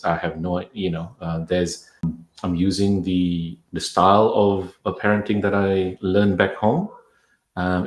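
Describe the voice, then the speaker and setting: A very deep-voiced man from Indonesia speaks as a parenting coach in a podcast interview. He talks thoughtfully, often restarting and repeating words. The room gives his voice a noticeable echo.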